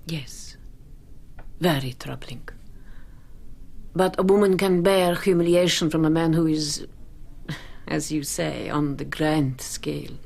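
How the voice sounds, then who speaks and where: A proud, worldly seductress in a literary radio drama. Her voice is lowish, husky and creaky, and she delivers cool mockery in a languid, breathy drawl. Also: slow, pauses often, a little mellow, full character voice. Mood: proud, intimate. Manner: languid, ironic, breathy at phrase ends. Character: proud, teasing, worldly woman, coolly alluring and mocking.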